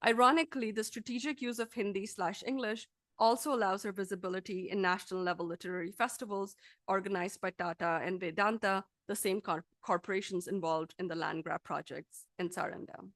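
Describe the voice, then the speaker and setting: A woman lecturer reading a scripted academic talk aloud in a formal, measured, articulate manner. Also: medium pitch, fairly even intonation, a bit bright, dry recording.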